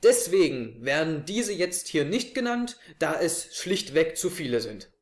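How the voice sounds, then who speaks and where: A man's voice: fairly high pitch, very animated, measured pace. A young man presenting a prepared review to camera in a living room. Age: young adult. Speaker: host of an audio drama review show. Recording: echoey small room.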